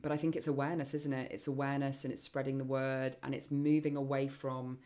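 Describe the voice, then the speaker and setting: A woman hosting a live online Q&A session, chatty and enthusiastic, with many ums and a voice getting croaky. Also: very deep voice, flat delivery, fast, dark tone. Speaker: webinar host and program founder.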